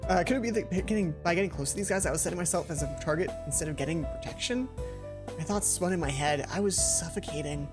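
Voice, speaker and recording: A young adult man reading a visual novel aloud as a let's play host, expressive, often correcting himself and dropping in casual commentary. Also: a little high, quick pace. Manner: expressive, self-correcting reader. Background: game music.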